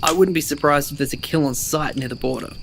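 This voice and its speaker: A man's voice: fairly high pitch, quick pace, gravelly, a bit bright. Male survivor for apocalyptic audio drama, actor pragmatic, blunt, exasperated, naturalistic delivery, faint background noise. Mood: firm. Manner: blunt, argumentative.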